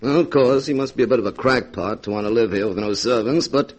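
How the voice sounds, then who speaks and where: An actor in a 1940s radio drama playing a brusque, scheming young fugitive husband who bosses people around in slangy talk. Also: male voice, medium pitch, brisk, lightly acted. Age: young adult. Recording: 1940s radio recording, organ music behind.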